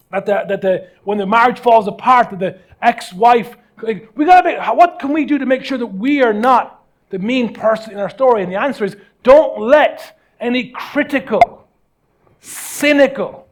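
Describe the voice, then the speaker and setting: High-voiced male preacher, emphatic delivery. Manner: emphatic, repeats phrases for weight. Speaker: pastor preaching. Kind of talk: conversational motivational sermon.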